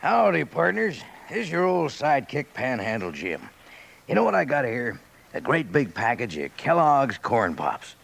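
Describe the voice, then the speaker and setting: Gravelly, folksy cowboy pitchman. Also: big pitch swings, normal speed, a bit bright, faint music behind, exaggerated voice. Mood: chummy, enthusiastic. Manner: folksy, chummy western talk. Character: Panhandle Jim, folksy old cowboy sidekick pitching cereal. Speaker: radio commercial pitchman. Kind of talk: 1950s radio advertisement.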